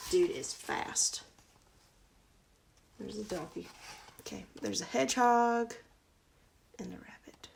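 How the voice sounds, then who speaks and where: A woman's voice: medium pitch, takes it slowly, creaky, pauses often, a little hiss or hum. A woman reading a children's storybook aloud on a livestream, chatty and informal in her asides. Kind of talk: children's picture-book read-aloud with casual asides.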